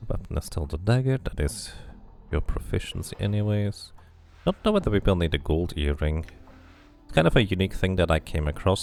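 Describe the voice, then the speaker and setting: A man's voice: sits low, creaky, darkish tone. A man doing a casual game playthrough, commenting and reading the game's text aloud. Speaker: game streamer.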